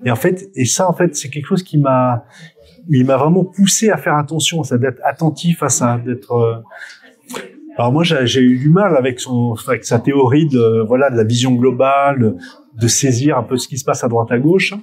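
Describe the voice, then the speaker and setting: Man, middle-aged, medium pitch, spontaneous interview talk, halting, repeats words. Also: a little mellow. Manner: hesitant, self-correcting, with frequent word repetitions. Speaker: photographer being interviewed. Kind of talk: spontaneous interview answers, reminiscing.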